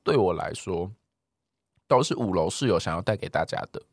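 A talkative man with a Taiwanese Mandarin accent co-hosts a casual podcast. His pitch moves around a lot as he chats, dropping in English words now and then. The recording is close and dry. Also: animated, fairly quick, no echo. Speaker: podcast co-host. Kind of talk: casual chat podcast, reading listener replies.

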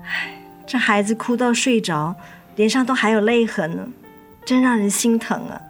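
A woman's voice: fairly high pitch, moderate pace, natural voice. An actress playing a hurt, anxious mother in a radio drama, with a guarded, sorrowful, emotional manner. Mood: tender, sad. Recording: music under the voice.

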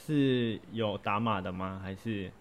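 Calm young man with lowish voice. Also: measured pace, slight background noise. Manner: calm, brief replies. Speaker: co-host, nephew. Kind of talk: casual chat.

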